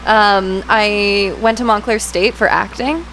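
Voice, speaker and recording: A young adult woman from Pennsylvania with a raspy voice, chatting as a podcast guest with frequent restarts. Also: medium pitch, fairly even intonation, a bit bright, slight background noise, poor audio. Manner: chatty, laughing, halting with restarts. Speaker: actor guest. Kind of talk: casual podcast chat.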